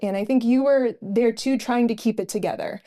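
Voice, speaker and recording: A woman's voice: a little high, normal speed, mellow. A middle-aged woman co-hosting a podcast, telling her personal testimony in an earnest storytelling way with frequent fillers. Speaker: podcast co-host.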